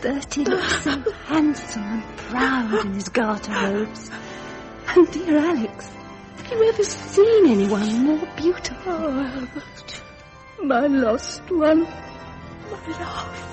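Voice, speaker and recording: A woman's voice: high-pitched, expressive, slow, music in the background, low-quality recording. An actress playing a tender, emotional lady of royal circles in a period radio drama, with a British accent and a plaintive manner. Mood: tearful, tender.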